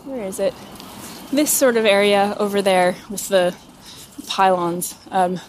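A woman of middling pitch chats outdoors while walking through a city, hesitating and reaching for words with little fillers. Faint traffic hum sits underneath her voice. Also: measured pace, darkish tone, poor audio. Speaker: writer and guest. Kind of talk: casual conversation while walking.

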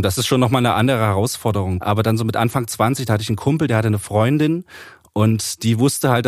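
A man's voice: fairly low pitch, no echo, clean studio sound. A man speaking as an interview guest, explaining things casually and a little hesitantly as he thinks aloud. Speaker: interview guest, author and poetry slammer.

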